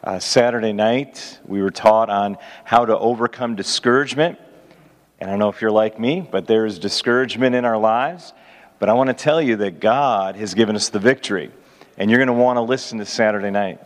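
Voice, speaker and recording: A loud-voiced man as host pastor, making halting announcements to his congregation. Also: lowish voice. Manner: halting, many "uh" fillers. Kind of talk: church announcements. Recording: reverberant church hall.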